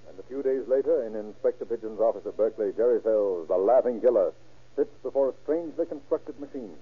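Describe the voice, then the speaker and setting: A man's voice: mid-range voice, lively intonation, quick pace, slightly rough. A man giving formal, solemn, dramatic scripted narration in the style of an old radio crime drama. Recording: tinny old radio recording.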